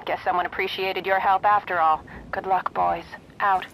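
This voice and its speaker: Army radio lady, calm and businesslike game dispatch, medium pitch, slight noise behind. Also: normal speed, poor audio. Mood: composed, businesslike. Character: composed female army radio operator. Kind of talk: military radio call in a video game.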